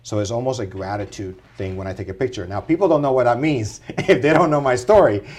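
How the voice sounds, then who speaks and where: A middle-aged man from Puerto Rico, a guest on a podcast, telling his life story in an earnest, animated way. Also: mid-range voice, fast talker. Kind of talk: casual interview storytelling.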